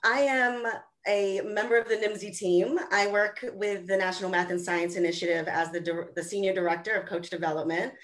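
A woman's voice: medium pitch, fairly even intonation, a little fast. Eager woman moderating an interview. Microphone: webcam on video call.

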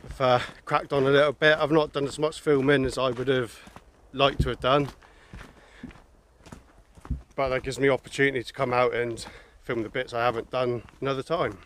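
A man's voice: medium pitch, flat delivery, moderate pace, fairly bright tone, a little hiss or hum. A man, chatting to camera as he hikes a coastal path. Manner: chatty, plain-spoken, talking while walking. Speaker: hiking vlogger. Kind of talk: casual walking vlog to camera. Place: outdoors on a wooded coastal cliff path. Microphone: handheld camera held at arm's length.

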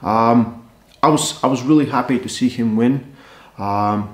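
Man in his thirties, casual animated analysis, mid-pitched, roomy sound. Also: measured pace. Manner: animated, gesturing, conversational with fillers. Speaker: arm wrestling coach and analyst. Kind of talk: casual post-match analysis. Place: living room, seated on a sofa. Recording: echoey room.

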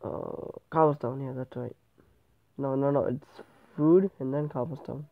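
A man's voice: medium pitch, steady tone, unhurried, gravelly. Stuffy-nosed male gamer, tinny sound. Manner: rambling, often correcting himself. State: stuffy nose from a cold. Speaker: gameplay channel host. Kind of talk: casual game commentary. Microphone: tablet microphone. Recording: tinny, phone-like tablet recording.

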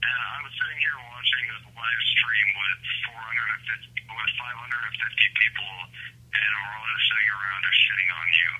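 A raspy man making a taunting, crude heckling phone call, heard through a phone speaker. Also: fairly low pitch, fairly quick, pushed and bright, slight background noise. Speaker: prank caller. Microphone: phone speaker picked up by a camera in the room. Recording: harsh tinny phone speaker, radio-like.

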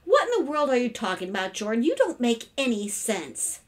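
Woman acting a high, fussing storybook mother. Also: wildly swooping pitch, a little fast. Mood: exasperated. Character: harried, affectionate mother and housewife.

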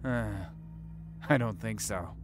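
An actor playing a menacing, smug billionaire CEO in an audio drama, taunting and condescending. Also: male voice, fairly low pitch, slow pace, very noisy. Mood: smug, mocking. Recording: music bed behind dialogue.

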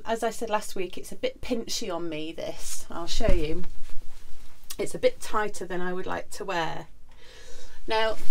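A woman in her forties, hoarse from the flu, chatting casually to camera in her home sewing room. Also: on the low side. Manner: chatty, friendly, many ums and asides. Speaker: sewing vlogger. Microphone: camera in front at desk.

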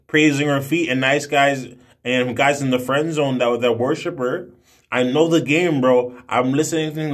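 A man in his twenties talking casually to camera in a brash, emphatic, slangy way with heavy swearing. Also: on the high side. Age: 20s. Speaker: video blogger. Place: small room indoors. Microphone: phone at arm's length.

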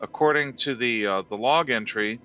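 A man's voice: mid-range voice, normal speed, pushed and bright. A man talking casually as a player in an online tabletop game, hesitant and thinking aloud. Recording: dull online voice chat, music behind.

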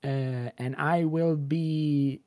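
A woman's voice: deep voice, steady tone, slow pace, darkish tone, no room sound, studio quality. A woman chatting casually and jokingly as a player on a tabletop game stream. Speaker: player on the show.

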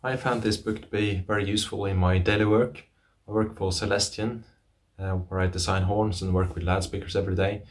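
A man, giving a measured, matter-of-fact technical presentation to camera. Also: sits low, level tone. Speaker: loudspeaker engineer and author.

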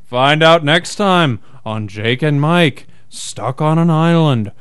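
A man's voice: fairly high pitch, expressive, takes it slowly, fairly bright tone, clear, resonant tone, dry recording, clean studio sound. A man narrating a comic radio serial in an announcer-like style.

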